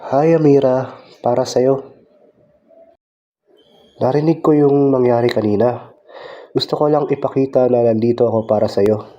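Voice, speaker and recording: A young man acting as an earnest, lovestruck high school boy in an amateur radio drama. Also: steady tone, normal speed, long pauses, dark tone, lo-fi sound, lightly acted. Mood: shy, sincere.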